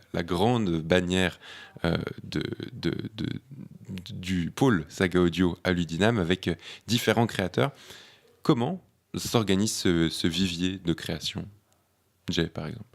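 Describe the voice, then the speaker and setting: A man with a gravelly voice hosting a live round-table talk show, introducing guests and putting questions to them. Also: deep voice. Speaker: radio show host.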